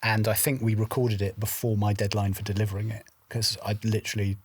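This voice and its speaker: A man's voice: lowish voice, level tone, fairly quick, breathy edge. A man who works as a writer and script editor, reminiscing conversationally and quoting others as he tells anecdotes.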